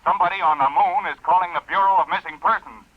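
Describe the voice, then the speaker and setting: An actor playing a quick, sharp-tongued male radio technician, brisk and retorting, in 1950s radio drama style. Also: high-pitched, faint music behind. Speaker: radio transmission operator. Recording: muffled 1950 radio broadcast.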